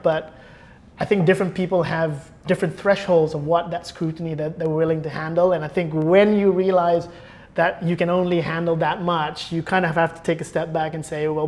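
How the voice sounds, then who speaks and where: A man's voice: on the high side, steady tone, moderate pace. A man speaking as a podcast guest in a casual interview, reflective and thinking aloud.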